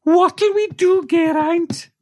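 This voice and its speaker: Excitable rural wife, piping high and gullible, for radio comedy. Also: female voice, takes it slowly, no echo, lo-fi sound. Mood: excitable, earnest. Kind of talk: comic radio play acting.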